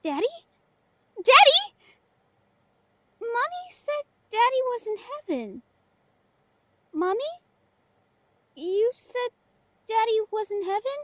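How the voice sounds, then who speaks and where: A woman's voice: very high voice, big pitch swings, takes it slowly, long pauses, bright, forceful tone, dry recording, full character voice. A woman voicing an innocent, feisty little girl with a childish, pleading way of talking in an audio drama. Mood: confused, hurt. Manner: childish, pleading, simple phrasing.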